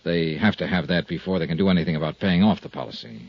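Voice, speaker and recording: A shrewd American insurance investigator from a 1950s radio drama. His voice is low and a little rough, with lively swings as he probes in a clipped, coolly polite way. The sound is an old, muffled broadcast. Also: fast talker, dry recording, full character voice. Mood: measured, probing. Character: tough, shrewd freelance male insurance investigator. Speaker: radio drama lead actor. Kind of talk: 1950s radio detective acting, narration and dialogue.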